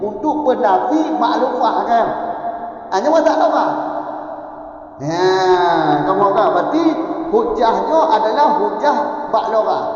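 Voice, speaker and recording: A man's voice: high voice, expressive, a little slow, a little mellow. A man with an Acehnese accent teaching a religious text step by step and often checking that his listeners follow. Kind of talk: classroom lecture on an Islamic text. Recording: echoey hall, dull lo-fi audio.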